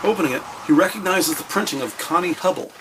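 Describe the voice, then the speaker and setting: A man's voice: high voice, fast talker, noisy background. A man reading scripted narration for a detective crime drama. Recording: music under the voice. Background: background music.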